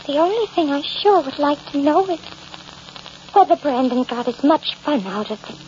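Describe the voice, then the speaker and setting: An actress playing a coy, cheerful murderess in old radio drama, chatty and mock-innocent. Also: female voice, high voice, animated, moderate pace, darkish tone. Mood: weakening, wistful. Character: cheerful, coy murderess narrating her crimes, primly self-justifying. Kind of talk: 1940s-50s radio drama acting. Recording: dull old radio broadcast, noisy.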